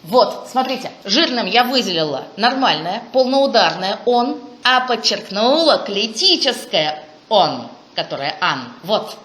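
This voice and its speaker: Woman presenter, higher register, enthusiastic academic delivery; echoey conference room. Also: sing-song, measured pace, a bit bright. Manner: enthusiastic, exclaims over findings. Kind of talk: academic talk with Q&A. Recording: echoey room, faint noise.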